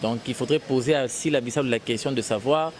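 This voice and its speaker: A man's voice: medium pitch, fairly quick, noisy background. Congolese man mixing French and Lingala. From Congo (DRC), Kasai. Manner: mixes French and Lingala, rambling with restarts. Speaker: interviewed actor. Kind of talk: casual interview answers.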